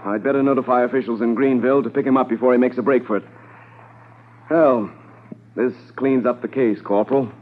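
An actor playing a brisk, commanding senior Mounted Police officer in a 1950s radio drama, crisp and authoritative. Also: male voice, mid-range voice, fairly even intonation, close to an everyday voice. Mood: decisive, satisfied. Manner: crisp, authoritative, giving orders. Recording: narrow, noisy 1950s radio transcription.

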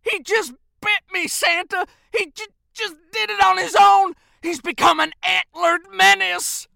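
A wounded male elf from a storybook in full hysteria. The voice is pushed high and sharp, bursting out in panicked, gasping shouts. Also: steady tone, measured pace, vocal fry, no echo, studio quality, full character voice. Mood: tearful, frantic.

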